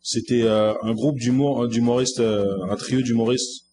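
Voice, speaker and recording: A man with a French accent talks casually, mid-pitched, pausing on fillers. He drifts up and down as he searches for names. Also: flat delivery, a little mellow, a little hiss or hum. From France. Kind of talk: casual podcast chat.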